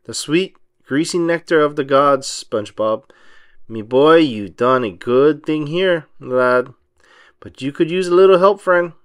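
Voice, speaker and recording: A man's voice: on the high side, unhurried, clear, resonant tone, no echo, studio quality, lightly acted. A man voicing a greedy, blunt old cartoon crab boss who talks in salty seafaring phrases, in a storybook. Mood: scheming delight. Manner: salty nautical turns of phrase.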